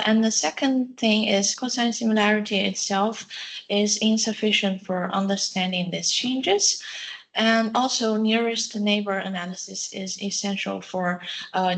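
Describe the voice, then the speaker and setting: A woman giving an academic conference presentation, pausing often with filler sounds. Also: medium pitch, creaky, a bit bright, rough recording. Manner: halting, many filler sounds. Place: seminar room.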